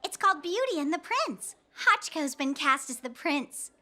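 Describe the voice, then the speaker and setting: A woman's voice: high-pitched, very animated, moderate pace, sharp tone, exaggerated voice. A woman voicing a cheerful young woman who runs a sweet shop, bubbly and exclaiming, in an anime dub. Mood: gentle.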